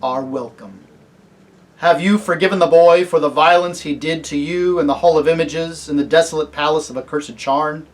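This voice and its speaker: A man voicing a great talking lion in a storybook, kingly and solemn, with a grave, measured, commanding manner. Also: on the high side, fairly even intonation, natural voice. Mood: solemn, granting. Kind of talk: storybook character reading.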